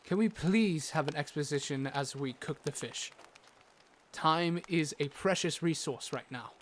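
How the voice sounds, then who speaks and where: A man playing a learned, brisk doctor and forest guide in a fantasy audio drama, speaking in a formal, instructive way. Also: fairly high pitch, a bit bright. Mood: businesslike.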